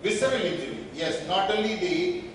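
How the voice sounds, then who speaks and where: An older man with an Indian accent gives a medical slide lecture, listing points in short, halting phrases. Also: sits high, animated. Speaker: radiologist giving a medical lecture. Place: indoor meeting hall at a podium. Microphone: distant camera microphone. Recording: echoey hall from far off, noisy.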